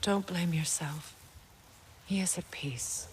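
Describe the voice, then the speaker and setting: Low-voiced, steely warrior goddess. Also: female voice. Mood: calm, sincere. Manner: measured, pointed. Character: proud, steely warrior goddess with old grievances. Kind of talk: video game cutscene acting.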